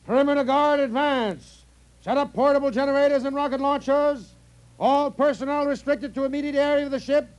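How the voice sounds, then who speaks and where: An actor in a 1950s radio comedy playing a stern, officious inspector from Earth, clipped and commanding. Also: male voice, very high-pitched, fairly even intonation, brisk, soft background music. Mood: barking orders.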